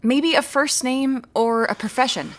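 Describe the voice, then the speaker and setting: A woman playing a guarded, quietly determined amateur detective in a naturalistic audio drama, with a dry, measured manner. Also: high-pitched. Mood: probing, patient.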